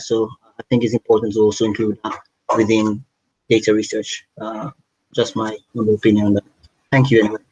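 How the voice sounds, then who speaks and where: A man asking a long question in an online workshop, halting, with many restarts and fillers. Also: fairly low pitch, breathy edge. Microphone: video call. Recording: dull, dry video-call audio.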